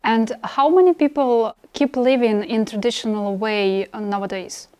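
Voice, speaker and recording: A woman with a Russian accent hosting a conversational podcast interview, attentive and phrasing her questions haltingly. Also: fairly high pitch, darkish tone, clean tone. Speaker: podcast host.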